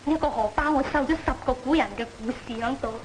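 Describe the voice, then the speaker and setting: High-voiced gentle young Cantonese woman, an actress's role. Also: fast, a little hiss or hum, lightly acted. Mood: tender. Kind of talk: old Cantonese opera film acting. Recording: echoey old film soundtrack, loud music.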